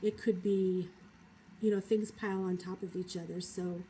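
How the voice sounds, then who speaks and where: A woman with a cold, talking through her feelings in a thoughtful, hesitant personal vlog monologue with many pauses. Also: fairly low pitch, flat delivery, dark tone, a little hiss or hum, poor audio. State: has a cold. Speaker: vlogger.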